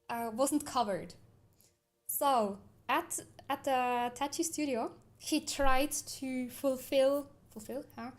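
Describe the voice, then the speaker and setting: A high-pitched woman, talking to her viewers in an unhurried, easygoing way. She reads their comments aloud and drifts into long, chatty stories, laughing often as she goes. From Switzerland. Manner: chatty, rambling storyteller who laughs often. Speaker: livestreamer. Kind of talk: casual chat, reading viewer comments aloud.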